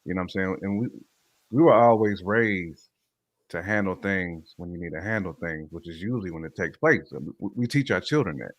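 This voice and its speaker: A man's voice: sits low, brisk, highs cut off. A man with a Southern American accent, co-hosting a casual chat and explaining his views conversationally. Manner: conversational, explains with "you know what I'm saying". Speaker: co-host.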